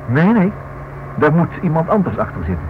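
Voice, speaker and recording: A man's voice: a little high, very animated, brisk, noisy background. An inquisitive writer in an old radio drama. He reasons aloud insistently with very mobile intonation, circling back over his words. The sound is dull and band-limited. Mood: excited reasoning. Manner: thinks aloud, insistent, repeats himself. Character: inquisitive, stubborn married man writing a book. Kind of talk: radio play acting.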